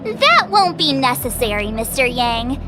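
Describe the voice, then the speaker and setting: A woman voicing an excitable, theatrical young girl detective in a video game, playful and dramatic. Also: sits high, unhurried, music in the background. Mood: playfully self-important. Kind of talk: animated video game voice acting.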